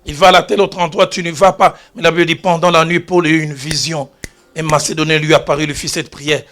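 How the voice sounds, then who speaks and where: Bright, high-pitched preaching man. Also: flat delivery, fairly quick. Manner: storytelling preacher, swings from hushed asides to loud exclamations. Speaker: pastor. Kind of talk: sermon. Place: church.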